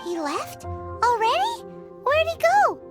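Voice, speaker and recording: An actress voicing a tiny, excitable fairy companion girl who chirps and speaks of herself in the third person. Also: extremely high pitch, slow pace, loud music behind the voice, exaggerated voice. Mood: curious. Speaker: voice actor in a game dub.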